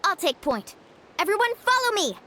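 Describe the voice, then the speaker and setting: A woman voicing a bubbly young woman surfer who leads her team in a video game with a peppy, shouty delivery. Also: very high voice, very animated, moderate pace, a little hiss or hum, heavily acted. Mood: eager. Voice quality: peppy, shouty battle cries. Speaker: game character.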